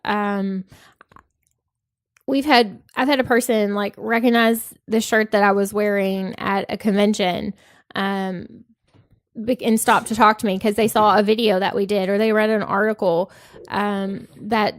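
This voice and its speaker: A slightly nasal woman in her thirties chats on a podcast, speaking softly and hesitantly with frequent ums. Her mid-pitched voice is recorded close and dry, with no room sound around it. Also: steady tone, a little slow, no echo. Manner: soft-spoken, hesitant, many ums. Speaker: podcast co-host. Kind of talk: casual podcast chat.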